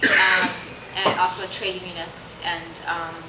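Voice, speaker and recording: A woman's voice: on the low side, level tone, measured pace, highs cut off. A woman activist and trade unionist introducing herself hesitantly on a panel. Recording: roomy, distant, very noisy.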